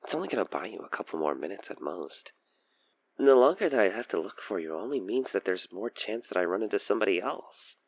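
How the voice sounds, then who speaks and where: A man acting an obsessed, possessive stalker killer in an audio roleplay, teasing, coaxing and menacing, with breathy, intimate delivery. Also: on the low side, big pitch swings, fast, no room sound. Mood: taunting, playful menace. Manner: theatrical, teasing, intimate, dropping into breathy near-whispers. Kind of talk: audio roleplay monologue spoken to the listener over a phone call.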